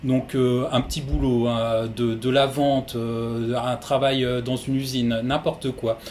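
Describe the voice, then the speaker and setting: A man's voice: mid-range voice, flat delivery, unhurried, a little mellow, slight background noise. A man working in human resources, giving methodical, practical advice to newcomers in an interview.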